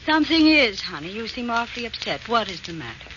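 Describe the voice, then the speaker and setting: A woman's voice: a little high, big pitch swings, fast talker. An actress playing an anxious, devoted, rather flustered woman in a 1940s radio crime drama. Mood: concerned. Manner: flustered, solicitous. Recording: muffled old radio recording, clear noise.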